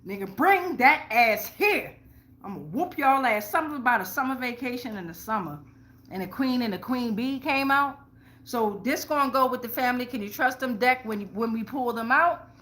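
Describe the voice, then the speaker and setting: Female tarot host, higher-pitched forceful voice, blunt slangy delivery, emphatic repetition, salty language. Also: expressive, moderate pace. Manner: blunt, heavy swearing, slangy, repeats phrases for emphasis. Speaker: tarot reader, show host. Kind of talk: casual spiritual tarot reading to followers.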